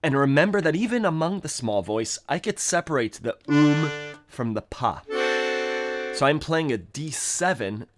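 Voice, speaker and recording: Guy teaching accordion on a livestream at home, lively up-and-down voice, accordion playing underneath. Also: fairly high pitch, normal speed, music in the background. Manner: thinking aloud, scatting melodies, animated. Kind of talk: livestream music lesson. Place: home music room, indoors.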